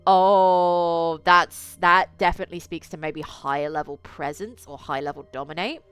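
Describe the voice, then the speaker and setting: A woman streamer reading a visual novel aloud, with excitable, slangy asides between stretches of narration. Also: fairly low pitch, moderate pace, clear, resonant tone. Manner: excitable, slangy, interjecting commentary. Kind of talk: reading game text aloud with casual asides. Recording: music behind the voice. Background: music.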